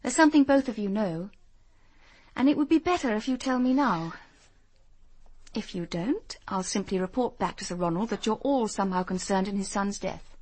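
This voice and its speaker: A woman's voice: a little high, a little mellow, rough recording. A woman playing a determined young female detective in a British radio drama, English accent, firm and direct. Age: young adult.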